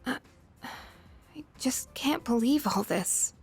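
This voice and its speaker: A woman's voice: high voice, animated, slow pace, creaky, darkish tone, slightly rough, faint music behind, heavily acted. A woman playing an earnest, troubled teenage schoolgirl in an anime-style game dub. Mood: anxious, disbelieving. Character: troubled, earnest teenage schoolgirl fighter, daughter of a late scientist.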